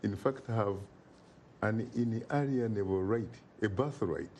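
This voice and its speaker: A man's voice: fairly low pitch, a little slow, a little mellow, slight background noise. A man from Uganda, speaking as an interview guest in an emphatic, oratorical way and repeating phrases for effect. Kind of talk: impassioned interview talk.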